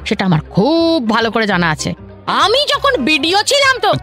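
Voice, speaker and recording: A woman's voice: very high-pitched, huge pitch swings, fairly quick, bright, forceful tone, faint music behind, heavily acted. A woman playing a haughty, hectoring government officer in a comic radio drama, interrogating and repeating her questions sharply. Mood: scolding, irate.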